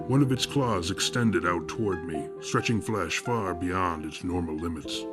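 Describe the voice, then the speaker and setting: A man acting as a somber first-person narrator of horror fiction, grave and deliberate in ornate storytelling. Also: lowish voice. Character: somber male narrator recalling nightmarish horrors. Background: loud music.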